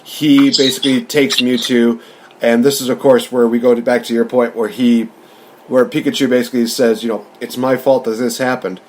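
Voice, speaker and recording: A man's voice: medium pitch, little pitch movement, moderate pace, a little mellow, low-quality recording. A man co-hosting a podcast, casually recapping a film and commenting as the story goes. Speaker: podcast co-host. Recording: faint background ambient noise.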